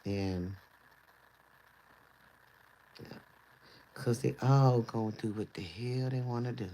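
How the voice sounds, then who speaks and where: Gravelly man chatting on a livestream. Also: medium pitch, slow pace, dark tone, poor audio. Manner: loose, rambling talk with easy laughs. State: voice going hoarse. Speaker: livestream host. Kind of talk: casual livestream chat.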